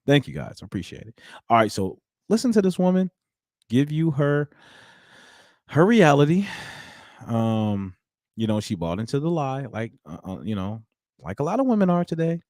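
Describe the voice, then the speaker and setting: A man in his thirties hosting a live stream, commenting and preaching to his chat in an animated way. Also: medium pitch, normal speed, long pauses, dry recording, studio quality. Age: 30s. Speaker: livestream host. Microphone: studio mic with headphones.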